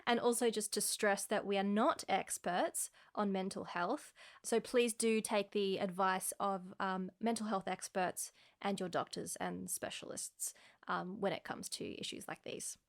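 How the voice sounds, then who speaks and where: A woman co-hosts a science podcast in a voice of average pitch. She sounds friendly and careful, and drops in the occasional filler while she talks. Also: fairly even intonation, measured pace. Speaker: podcast co-host. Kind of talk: casual podcast chat.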